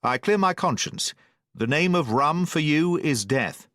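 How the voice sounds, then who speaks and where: A man voicing a stern, educated middle-aged doctor who speaks in a brisk, severe and precise way. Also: a little high, expressive, fairly bright tone, dry recording, clean studio sound, voice pushed up.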